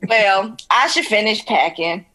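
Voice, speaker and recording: A woman's voice: medium pitch, expressive, measured pace, sharp tone, poor audio. A woman playing an awkward young sister who is eager to connect, in a natural audio drama. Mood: eager, teasing.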